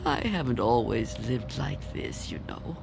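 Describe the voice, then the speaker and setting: A woman's voice: rumbling low, lively intonation, measured pace, creaky, music in the background, full character voice. An actress playing a frightened, reclusive old woman afraid of death in a radio drama, tremulous and pleading. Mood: wistful.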